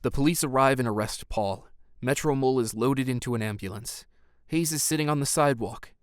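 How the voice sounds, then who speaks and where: A man's voice: medium pitch, a little fast, smooth, no room sound, studio quality. A man reading scripted narration as the narrator of an audio drama.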